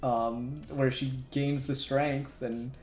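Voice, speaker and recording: A man's voice: medium pitch, level tone, slow, darkish tone. A man chatting casually as he hosts a live stream while working. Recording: roomy echo, music underneath. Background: music.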